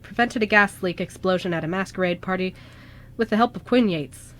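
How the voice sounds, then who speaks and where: A woman's voice: medium pitch, brisk, natural voice. A woman acts out an anxious young guy who travels through time. He stammers and trips over himself, flustered and hesitant, in a homemade radio play with faint noise on the recording. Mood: nervous, flustered. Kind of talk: amateur radio drama acting. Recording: noisy amateur radio show recording.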